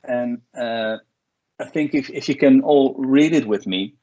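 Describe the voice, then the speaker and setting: A man's voice: medium pitch, a little slow, dark tone. A man, an export coach giving a plain-spoken online workshop talk with many restarts and fillers. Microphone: video call. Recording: dull lo-fi video call audio.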